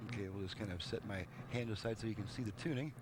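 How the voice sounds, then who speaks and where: A man's voice: fairly low pitch, fast, heavy background noise. A man giving a casual, hands-on demonstration as a repairman, explaining step by step in a plain-spoken, folksy way. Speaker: car radio repairman and presenter.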